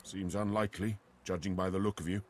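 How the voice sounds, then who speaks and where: An actor playing a judgmental cathedral devotee in a fantasy game, dry and disdainful. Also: male voice, low-pitched, fairly even intonation, quick pace, a little mellow, slight background noise, lightly acted. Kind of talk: fantasy video game acting.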